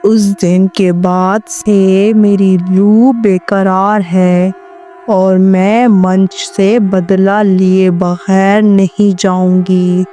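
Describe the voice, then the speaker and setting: A woman voicing a vengeful female ghost witch who speaks in a slow, drawn-out, menacing way. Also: medium pitch, level tone, dark tone, faint music behind.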